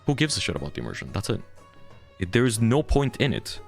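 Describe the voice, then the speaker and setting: A young adult man with a deep voice, a streamer ranting frankly and heatedly about his community and swearing freely. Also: sing-song, fast, gravelly, faint music behind. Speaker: VTuber streamer. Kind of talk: candid ranting monologue.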